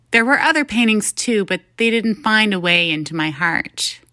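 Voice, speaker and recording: A woman narrates a scripted short story in the first person. Her voice is slightly low, clear and forward, captured very dry and close. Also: bright, forceful tone, no echo. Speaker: story reader.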